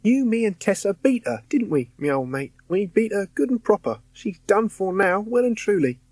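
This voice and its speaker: A man's voice: high-pitched, very animated, normal speed, a little mellow, no echo, voice pushed up. A man doing a cheeky ghost boy. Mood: reassuring. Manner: chummy, slangy, reassuring.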